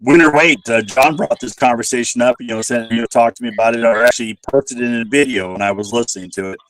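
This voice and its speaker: A man's voice: mid-range voice, quick pace, a bit bright, no room sound. A man hosts a casual online roundtable in an informal, chatty way. His voice is a bit scratchy from a cold and is recorded dry, with no room sound. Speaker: host of a panel livestream. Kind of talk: casual chat, hosting.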